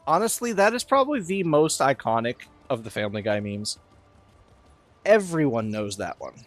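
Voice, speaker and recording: A man chatting casually as he streams a video game, with a lively delivery. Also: medium pitch, moderate pace, faint music behind. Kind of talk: casual chat while playing a game.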